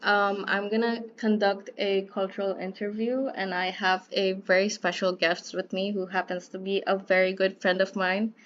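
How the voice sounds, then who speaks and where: A woman's voice: medium pitch, level tone, normal speed, few pauses. Interviewer: young woman, chatty and friendly, muffled lo-fi audio. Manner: friendly, chatty, lots of likes and fillers. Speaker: student interviewer. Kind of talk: casual student interview. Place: at home.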